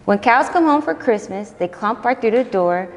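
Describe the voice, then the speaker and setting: A young adult woman volunteering as a reader, reading children's picture books aloud in an expressive, sing-song way. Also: on the high side, normal speed, big-room sound, background noise. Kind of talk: children's storytime read-aloud.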